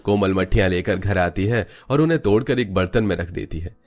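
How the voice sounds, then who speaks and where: A man narrates a cartoon tale in a fairly low voice. The delivery is scripted storytelling, told in the third person as a narrator. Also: a little fast, darkish tone, soft background music.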